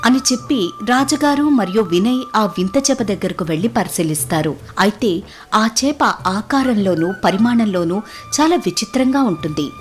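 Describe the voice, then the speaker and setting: A woman's voice: medium pitch, expressive, normal speed, soft background music. A woman narrating a scripted moral story as the storyteller.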